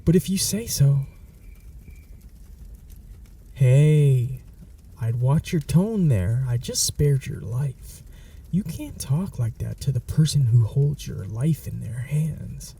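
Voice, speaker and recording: A man playing a smug, gravelly male vampire. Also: mid-range voice, expressive, slow, pauses often, dark tone, recorded from a distance, exaggerated voice. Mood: cold menacing. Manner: smug, mocking, slips into whispers. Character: smug possessive male vampire, teasing and menacing. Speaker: ASMR roleplay voice actor. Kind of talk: ASMR audio roleplay.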